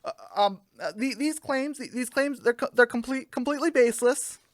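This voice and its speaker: A man's voice: very high voice, fairly quick, no echo, studio quality, voice pushed up. A man playing a nervous, evasive politician who stammers through a radio game-show parody. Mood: flustered, evasive. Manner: stammering, flustered.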